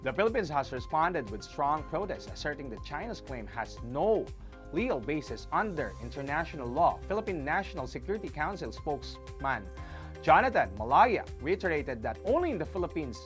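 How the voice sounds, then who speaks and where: Newsreader with lively, rising delivery. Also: mid-range voice, a little fast, faint music behind. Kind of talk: scripted news narration.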